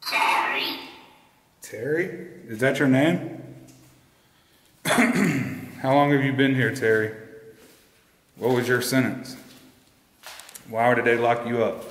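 Man calling out in an echoey hall. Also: mid-range voice. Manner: hushed, calls out loudly to spirits. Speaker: ghost hunter. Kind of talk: casual ghost hunting vlog. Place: large abandoned prison, indoors.

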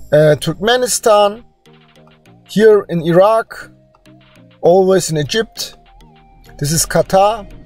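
A man who is not a native English speaker, with a non-native accent, gives informal screen-share commentary as host, haltingly searching for words. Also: sits high, a little mellow. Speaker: host presenting DNA results.

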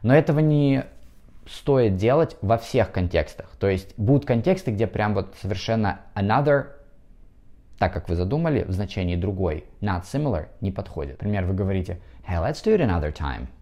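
Russian male English teacher, 30s, low warm voice, lapel mic. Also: animated. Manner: explains slowly, switches between languages, models pronunciation. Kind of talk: video lesson to camera. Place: bright indoor room.

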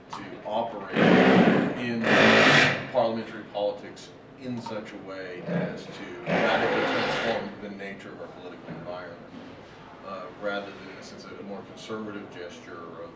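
A middle-aged man moderating a workshop, asking a long academic question in a measured, hesitant way with many fillers. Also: deep voice, fairly even intonation, creaky. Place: meeting room at a table. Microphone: far camera. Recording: echoey room from far off, loud noise.

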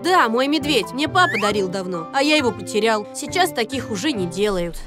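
A woman's voice: fairly high pitch, expressive, brisk, music in the background, lightly acted. A woman voicing a young living backpack in a children's cartoon, an earnest, eager and determined little leader. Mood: wistful. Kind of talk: animated children's cartoon acting.

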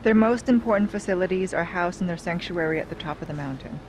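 A woman's voice: lowish voice, fast talker, lightly acted. Cool female spy for an action game , actress, clipped and teasing, noisy background. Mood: cool, informative. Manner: composed, coy, clipped. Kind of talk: cinematic video game acting.

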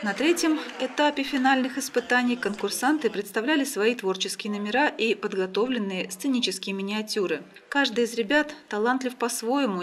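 A woman reading scripted news narration as a television reporter. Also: medium pitch.

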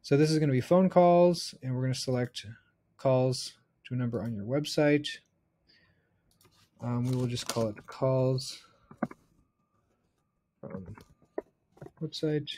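A man in his thirties with a mid-range voice works through a software tutorial. He explains each step informally, as an instructor thinking aloud at his computer. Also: medium pitch, takes it slowly, pauses often, slight background noise. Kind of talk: casual screen-share software tutorial.

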